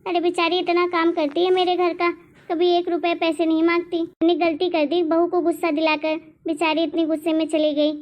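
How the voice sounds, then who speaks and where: A woman's voice: very high-pitched, flat delivery, fairly quick, a little mellow. A woman voicing an anxious, complaining older mother-in-law in a cartoon family drama, with a fretful, nagging manner. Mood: regretful.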